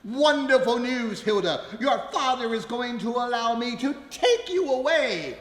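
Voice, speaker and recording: A man playing a shifty, blustering murderous suitor with a halting, theatrical delivery in a live radio melodrama. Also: very high-pitched, lively intonation. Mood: bossy. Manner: theatrical, halting and stammering when cornered. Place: live stage in a ballroom. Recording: echoey live hall with long reverb.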